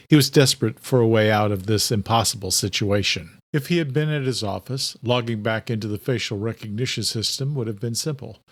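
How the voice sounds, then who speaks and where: A man giving a steady, scripted narration of a horror audiobook. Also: lowish voice, no room sound.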